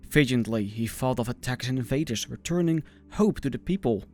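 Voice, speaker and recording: A man from the Netherlands with a Dutch accent, reading a scripted fantasy story in a measured, dramatic way. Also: mid-range voice, animated. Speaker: story narrator. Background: music.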